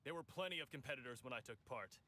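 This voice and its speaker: A man's voice: medium pitch, animated, fast, bright, forceful tone, slight background noise. An actor playing a boastful, cocky male brawler who brags and chuckles at his own stories, in video game voice acting.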